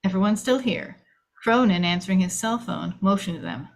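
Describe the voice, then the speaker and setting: A woman's voice: medium pitch, moderate pace. A woman reading stage directions aloud in scripted screenplay narration.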